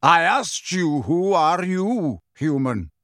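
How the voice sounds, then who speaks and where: A man voicing a proud, stern male centaur leader in a storybook, rough and haughty, with emphatic delivery. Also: fairly high pitch, sing-song, slow pace, fairly bright tone, no room sound, studio quality, pitched above the performer's own voice, heavily acted. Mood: stern, demanding. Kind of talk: audiobook character voice.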